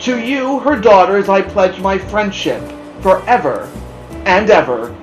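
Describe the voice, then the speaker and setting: An earnest, devoted pig from a children's storybook , voiced by a woman. He speaks with solemn, heartfelt gratitude in a raised pitch, in a roomy space. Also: lively intonation, unhurried, soft background music, close to an everyday voice. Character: earnest, tender, grateful male pig. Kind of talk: children's storybook character reading.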